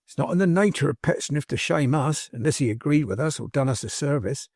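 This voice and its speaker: Man voicing an emphatic working-class manservant, crisp and dry. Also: on the high side, expressive, fairly quick, a little mellow, dry recording. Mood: scornful, fervent. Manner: emphatic, colloquial, ungrammatical, insistent. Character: cheery working-class young manservant, loyal, bold, scornful orator. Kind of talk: dramatised audiobook character dialogue.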